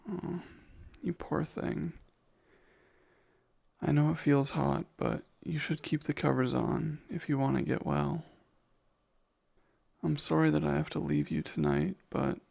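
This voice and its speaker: A man playing a gentle, protective vampire caretaker in a soft ASMR roleplay, soothing and a little hesitant, with formal wording. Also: on the high side, expressive, slow, gravelly, full character voice. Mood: tender concern.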